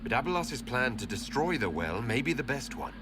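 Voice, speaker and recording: A man acting a resolute, expressive fantasy hero. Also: mid-range voice, a little fast, heavy background noise, close to an everyday voice. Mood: wary, challenging. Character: wary, resolute male leader of a fantasy order.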